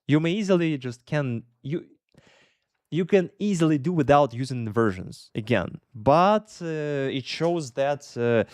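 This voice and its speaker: A man's voice: medium pitch, a little mellow, dry recording, studio quality. A young man with a Russian accent, chatting casually as an English teacher answering viewers' questions live, often correcting himself and laughing between points.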